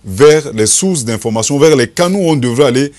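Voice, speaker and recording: A man's voice: mid-range voice, lively intonation, a little fast, a little mellow. A man, a journalist arguing on a TV panel, hesitating with many fillers. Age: 40s. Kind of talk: televised panel debate.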